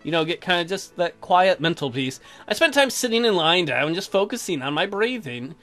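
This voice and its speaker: A man with a bright, high-pitched voice gives casual gaming commentary. He is crude and sarcastic, swinging widely in pitch, with music playing behind him. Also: big pitch swings, moderate pace. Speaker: gaming video host. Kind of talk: casual let's play commentary, reading game text aloud. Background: game music.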